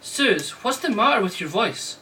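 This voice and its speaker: A man acts an attentive, worried husband in a radio play. His bright voice coaxes hesitantly, recorded some way from the mic over faint noise. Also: high voice, sing-song, normal speed, far from the mic, exaggerated voice, rough recording. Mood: concerned. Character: worried, attentive husband Freddy, gently coaxing. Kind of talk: radio drama acting.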